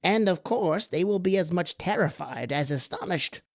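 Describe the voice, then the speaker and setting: A woman plays a scheming little male court jester in a radio horror drama. The voice sits lowish for a woman and draws phrases out with theatrical deliberation. It is sly and persuasive, recorded very dry. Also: animated, normal speed, dry recording. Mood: scheming persuasion. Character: cunning crippled male court jester, slyly scheming dwarf.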